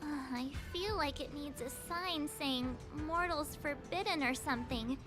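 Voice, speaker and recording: A woman's voice: sits high, a little slow, music in the background. A woman voicing a perky, blunt, skeptical teenage adventurer girl in a video game. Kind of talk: scripted video game voice acting.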